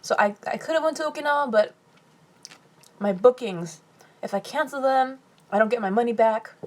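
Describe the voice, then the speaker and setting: A young woman from California chats through a live stream Q&A, reading viewer comments aloud and answering with quick laughs. Her voice sits a little high, and she talks casually into a phone mic between bites. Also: a little mellow. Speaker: vlogger answering live chat questions.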